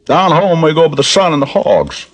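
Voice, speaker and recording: Fake Arkansas yokel: high, bouncy drawl, hesitant and folksy, radio drama. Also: male voice, big pitch swings. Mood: folksy, bumbling. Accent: put-on rural Arkansas drawl. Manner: halting, folksy, full of uh's. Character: bumbling country bumpkin man, folksy and hesitant. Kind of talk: 1950s radio drama acting.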